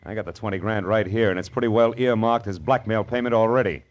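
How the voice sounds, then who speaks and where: Man playing a tough american private eye, low voice, wry and clipped, old radio crime show. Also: steady tone, fast, highs cut off, close to an everyday voice. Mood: commanding, sardonic. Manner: wry, hard-boiled, clipped. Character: tough, sardonic male private detective. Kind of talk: old-time radio detective drama, narration and dialogue.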